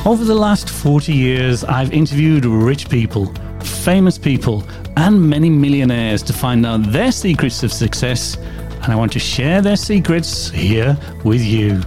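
Male podcast host, upbeat presenter delivery, mid-pitch voice, faint music underneath. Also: sing-song, unhurried, darkish tone. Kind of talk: scripted show intro then interview.

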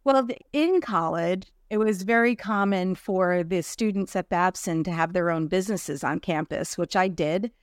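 Smooth-voiced woman telling career stories. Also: fairly low pitch, normal speed, few pauses, no echo, studio quality. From New Jersey. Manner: chatty, storytelling, laughs easily. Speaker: guest. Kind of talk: casual interview.

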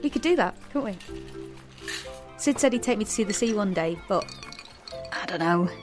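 A woman's voice: mid-range voice, animated, moderate pace, natural voice. A woman playing an anxious, naive teenage girl in a dystopian science fiction audio drama. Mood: wistful. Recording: music under the voice.

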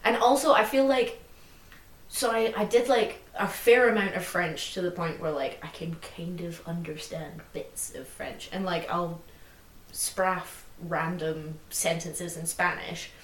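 A woman's voice: on the low side, fairly even intonation, unhurried, recorded from a distance. Rambling young woman, roomy sound. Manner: rambling, fills speech with "like". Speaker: podcast co-host. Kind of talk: casual chat. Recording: roomy, slightly off-mic.

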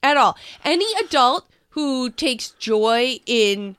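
Sniffly woman co-host, chatty and blunt with "like"s throughout, in a smooth, clear voice on a dry mic. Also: on the high side, lively intonation, fairly bright tone, no room sound. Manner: quick reactions, talky with many "like"s. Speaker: talk show co-host. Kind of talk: opinionated casual talk.

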